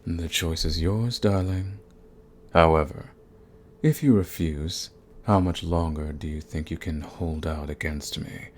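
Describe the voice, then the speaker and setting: Man acting a deep, slow, menacing vampire lord. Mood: menacing, coaxing. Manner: menacing, silky, taunting, formal and deliberate. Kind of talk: ASMR roleplay audio drama.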